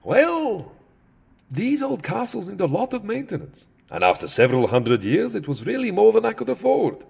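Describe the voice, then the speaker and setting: A man's voice: high voice, very animated, moderate pace, vocal fry, a little mellow, breathy edge, full character voice. A man playing a centuries-old vampire count in a comedic audio drama, fussy, sardonic and theatrically wordy. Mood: wry, chatty. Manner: theatrical, wry, fussily verbose.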